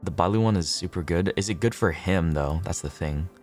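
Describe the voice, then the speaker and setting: A man livestreaming a game, chatting casually with viewers, swearing freely, repeating himself and thinking out loud. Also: deep voice, brisk, soft background music.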